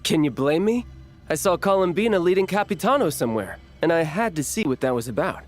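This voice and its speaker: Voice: mid-range voice, lively intonation, fairly quick, soft background music, close to an everyday voice. An actor playing a breezy, cocky young warrior in a video game, talking casually. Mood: breezy, teasing.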